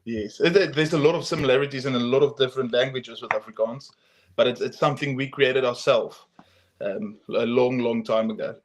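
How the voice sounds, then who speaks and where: A man's voice: mid-range voice, a little fast. A young man from South Africa with a South African accent, a guest talking casually in an interview, hesitant with many ums and restarts. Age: young adult. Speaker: guest, arm wrestler.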